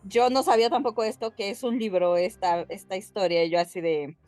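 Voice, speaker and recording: A woman with a Mexican Spanish accent co-hosting a podcast, explaining things casually and haltingly as she addresses her listeners. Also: mid-range voice, fairly even intonation, a little mellow, no room sound. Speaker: podcast co-host.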